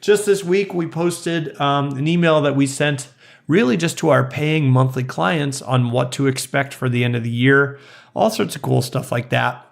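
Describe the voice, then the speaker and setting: A man hosts a podcast in a hoarse, slightly raspy voice, still getting over being sick. He talks casually and with enthusiasm, dropping in plenty of fillers as he chats with a guest and wraps up the show. His pitch sits in the middle range for a man. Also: steady tone, moderate pace. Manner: upbeat, chatty, many fillers. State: hoarse, recovering from illness. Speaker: podcast host. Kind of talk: casual interview and outro.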